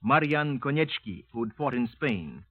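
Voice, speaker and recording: A man's voice: medium pitch, sing-song, measured pace, no echo. Formal vintage male radio announcer, muffled sound. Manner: formal, declarative. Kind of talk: 1950s radio announcing, scripted. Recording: dry, muffled narrowband vintage radio recording.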